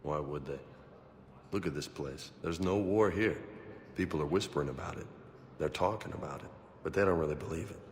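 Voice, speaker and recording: A man's voice: a bass voice, a little fast, in a large hall. A man playing a streetwise, disillusioned soldier in a video game, talking in a blunt, slangy way. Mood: brooding.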